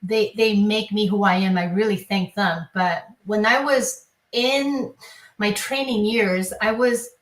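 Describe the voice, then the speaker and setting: A woman's voice: mid-range voice, steady tone, a little slow. A woman with a Taiwanese accent talking reflectively as an interview guest, explaining things with repeated words and phrases. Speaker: classical pianist, teacher, interview guest. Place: online video discussion, voice in an echoey room. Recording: echoey room sound.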